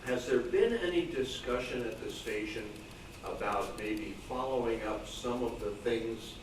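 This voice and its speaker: A man's voice: medium pitch, unhurried. A man in the audience putting a question informally, in careful, hesitant phrasing, from across a meeting room. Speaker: audience member asking a question. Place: club meeting room, far from mic. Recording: distant echoey room with clear noise.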